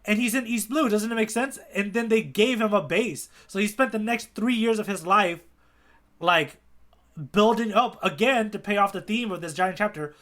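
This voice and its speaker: A man's voice: high voice, normal speed, a bit bright. A man co-hosting a podcast in casual conversation, inquisitive, often checking in and prompting with questions. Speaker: podcast co-host. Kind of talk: casual chat, manga readalong discussion.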